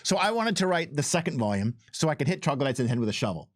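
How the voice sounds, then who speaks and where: A man chatting casually as a game developer and co-host, telling anecdotes in an animated way with acted-out quotes. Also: a little high, racing, dry recording, clean studio sound.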